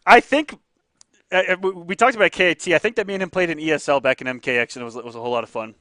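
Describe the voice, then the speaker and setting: A man hosting and commentating a fighting-game tournament live, excitable, laughing often and tripping over repeated words. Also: fairly high pitch, racing, no echo, studio quality.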